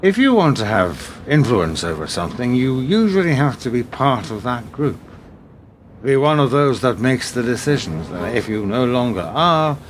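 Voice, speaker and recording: An actor playing a guarded, dry British intelligence officer in a radio drama, terse and understated. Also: male voice, medium pitch. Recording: clearly noisy background.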